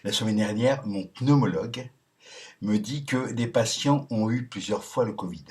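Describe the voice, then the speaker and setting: A man's voice: fairly low pitch, normal speed, darkish tone. A man in a casual monologue, reading messages aloud and adding sardonic remarks, with frequent chuckles, ums and restarts. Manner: hesitant, many ums and restarts. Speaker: video commentator.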